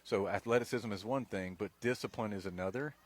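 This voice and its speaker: Color man, deep-ish voice, measured and folksy delivery. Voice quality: low voice. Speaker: color commentator. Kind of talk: local radio football commentary.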